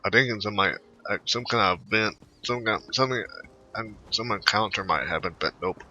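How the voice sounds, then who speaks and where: A man with a low but very sharp, bright voice narrates his game playthrough. He talks casually and haltingly, tripping over words, on slightly dull lo-fi audio. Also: slight background noise. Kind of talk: casual game commentary, reading game text aloud.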